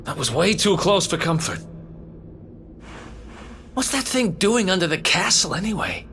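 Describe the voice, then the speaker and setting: A man's voice: high-pitched, sing-song, unhurried, pushed and bright, slight background noise, exaggerated voice. A young man acting a wry, plucky, roguish fugitive in a video game cutscene. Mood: relieved, wry.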